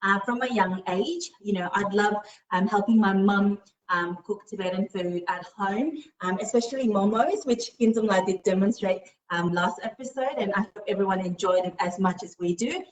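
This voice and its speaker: A woman's voice: medium pitch, normal speed, hardly any pauses. A young adult woman who grew up in Australia presents a casual cooking demonstration in a home kitchen. She explains each step patiently, with many "um" fillers. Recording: roomy, distant mic.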